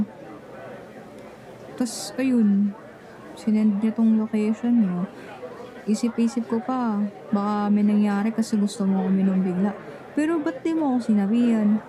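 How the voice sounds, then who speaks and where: A woman's voice: medium pitch, natural voice, low-quality recording. A young woman acting a grumpy, jealous friend who feigns nonchalance in an ASMR roleplay, pouty and defensive, slipping casual English into her talk. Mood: hurt, worried. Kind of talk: Tagalog ASMR roleplay acting, one-sided conversation.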